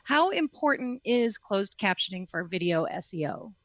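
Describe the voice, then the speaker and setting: A woman's voice: mid-range voice, animated, moderate pace, dry recording, dull audio. Upbeat woman co-host, dry close sound. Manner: upbeat, teasing, reads out viewer questions. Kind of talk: casual online show chat.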